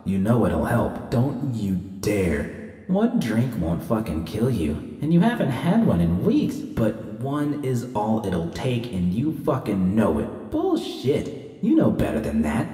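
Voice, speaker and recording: A man's voice: on the low side, wildly swooping pitch, takes it slowly, warm tone, echoey room, in a large hall, recorded from a distance, exaggerated voice. A man voicing a woman's warring inner voices, tempting and scolding, in terse, urgent, profane lines from an audiobook-style story. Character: woman's warring inner voices, craving against self-reproach.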